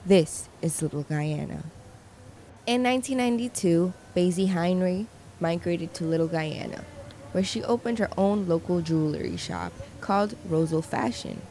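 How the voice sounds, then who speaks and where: Woman reporter, lowish voice, scripted narration, faint background noise. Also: normal speed, warm tone.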